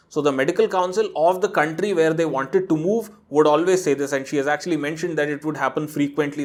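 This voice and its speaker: Guy reading out a fan's email on his channel, kinda high voice, earnest, chiming in with side comments. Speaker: host reading a viewer's letter.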